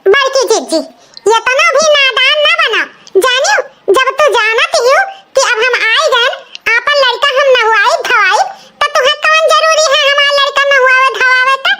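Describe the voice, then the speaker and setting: A man's voice: very high voice, moderate pace, very noisy. A man voicing a vain, jealous young village woman in a comic cartoon, with an Awadhi accent and a nagging, taunting manner. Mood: haughty, scolding. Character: vain, jealous, scolding young village sister-in-law, a mother.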